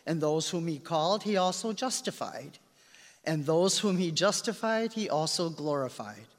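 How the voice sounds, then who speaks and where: A man's voice: fairly high pitch, expressive, normal speed. A man reading scripture aloud in a formal style at a church service. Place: large reverberant church. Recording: long echoing church reverb.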